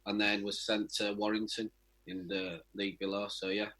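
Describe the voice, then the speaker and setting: Young male, bright sharp tone, lowish pitch, footballer, casual interview. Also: level tone, moderate pace. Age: young adult.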